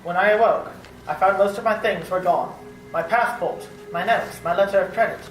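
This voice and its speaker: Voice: medium pitch, moderate pace, lightly acted. An actor playing an earnest, frightened English traveller held prisoner, telling the tale dramatically in an old-time radio drama. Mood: grim. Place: live performance at a public library. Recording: roomy, distant, noisy live recording.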